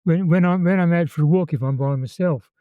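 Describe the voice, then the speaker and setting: A man in his eighties with a Birmingham accent, calmly recalling old times as an interview guest and often repeating words as he tells his story. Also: a little high, brisk, smooth, dry recording, phone-quality sound. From England. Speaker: interview guest, former conscript. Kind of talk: reminiscing in conversation.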